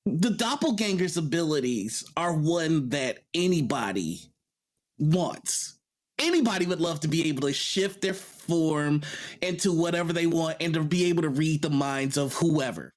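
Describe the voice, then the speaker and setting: Male panelist, higher register, enthusiastic and explanatory, in relaxed conversational tabletop gaming talk. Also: moderate pace.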